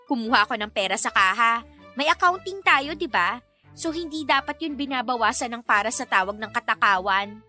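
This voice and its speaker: A woman's voice: fairly high pitch, animated, moderate pace, bright, forceful tone. An actress playing a feisty, easily annoyed young woman trading sarcastic retorts in a radio-style romance drama. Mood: sarcastic teasing. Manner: sarcastic, indignant, teasing retorts. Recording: music behind the voice.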